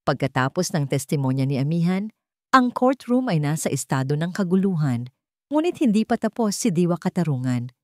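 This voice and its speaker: A woman narrates a scripted drama in a low-pitched voice. The recording is dry and close, with hardly any room sound. She delivers it as a storyteller reading dramatic fiction. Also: sing-song, fairly quick, dark tone, no room sound.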